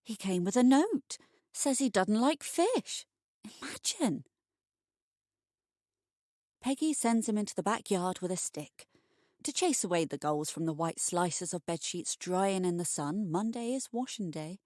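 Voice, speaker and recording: A woman narrating a scripted bedtime short story for adults, expressive and wry. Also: mid-range voice, unhurried, no echo, studio quality.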